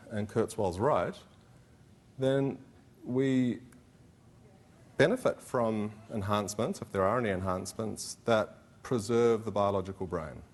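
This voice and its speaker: A middle-aged man giving a philosophy conference talk, conversational and hedging, with many fillers and restarts. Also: fairly low pitch. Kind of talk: academic conference presentation.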